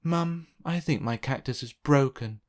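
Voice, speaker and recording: A man's voice: medium pitch, measured pace, a little mellow, natural voice. A man voicing an impatient, whiny young girl in a children's storybook, dramatic and complaining. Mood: exasperated.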